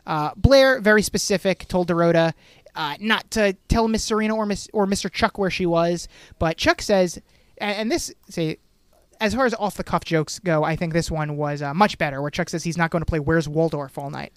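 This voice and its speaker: A podcast co-host recapping a TV episode in casual chat, talking fast, swearing freely and acting out the characters' lines. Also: medium pitch, smooth, dry recording, studio quality. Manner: fast, animated, swears, acts out quotes.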